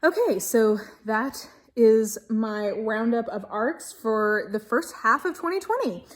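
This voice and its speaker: A woman's voice: on the high side, lively intonation, slow pace. A woman giving a casual video book review in a gushing, emphatic manner, stretching key words for stress. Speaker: book reviewer and channel host.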